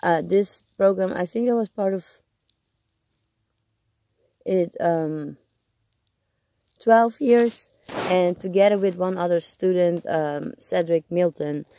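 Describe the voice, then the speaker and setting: Hoarse, halting vlogger. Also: medium pitch, mellow. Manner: halting, hesitant, searching for words. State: hoarse, sick. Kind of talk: casual video check-in.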